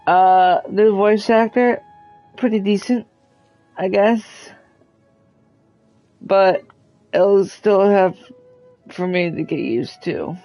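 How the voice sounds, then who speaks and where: A man giving casual, unscripted opinion commentary in a halting, hesitant way. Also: sits high, warm tone, faint music behind, low-quality recording. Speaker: video uploader giving commentary.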